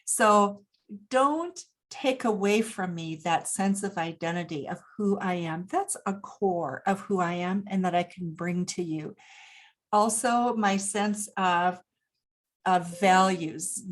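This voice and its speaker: A woman from Japan speaking as an expert guest, explaining points deliberately and emphatically, posing questions and answering them. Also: fairly low pitch. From Japan, grew up there. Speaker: interview guest, business expert. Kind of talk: explanatory interview talk.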